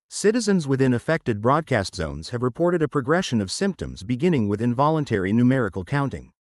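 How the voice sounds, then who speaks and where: A solemn male emergency broadcast announcer, acted out by a man delivers an eerie official warning in analog horror style. His voice sits at a medium pitch and moves with lively intonation. The delivery is formal, measured and detached, recorded close and dry without room sound. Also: no echo, close to an everyday voice. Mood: grave, detached. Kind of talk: scripted analog horror public advisory narration.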